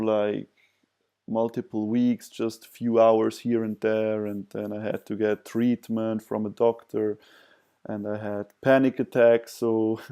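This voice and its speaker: Slow, low-pitched man, hesitant delivery. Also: dark tone, smooth. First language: not English. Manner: hesitant, halting delivery with long pauses and repeated words. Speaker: video presenter sharing personal advice. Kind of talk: unscripted advice talk to camera.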